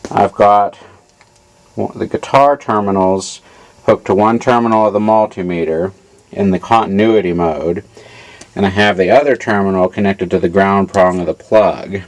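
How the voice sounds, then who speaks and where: A man giving an informal hands-on technical demonstration in a halting, matter-of-fact way, as a repair hobbyist. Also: low-pitched, fairly even intonation, poor audio. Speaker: amplifier repair hobbyist demonstrating his work.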